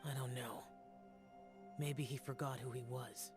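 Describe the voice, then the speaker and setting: A man's voice: medium pitch, level tone, natural voice. A man playing a grim ancient demon warrior. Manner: solemn, measured, grave. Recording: game cutscene with soft music.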